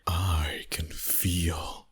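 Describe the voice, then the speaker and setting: A man playing a seductive, dominant vampire boss in an intimate ASMR roleplay, breathy and often near a whisper, teasing slowly and deliberately. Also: sits low, little pitch movement, exaggerated voice. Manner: slow, deliberate, flirtatious.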